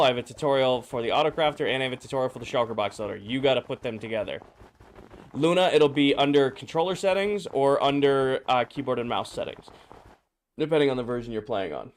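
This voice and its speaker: A man streaming a game, his voice slightly hoarse and drowsy, casually greeting viewers and answering chat questions. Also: medium pitch, fast talker. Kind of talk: casual live-stream chat while playing.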